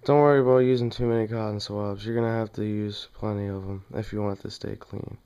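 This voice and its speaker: A man giving a casual hands-on tutorial at home, talking plainly and haltingly as he works. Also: fairly low pitch, fairly even intonation, mellow, smooth, highs cut off. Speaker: demonstrator in a home how-to video. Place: small dim room indoors at home.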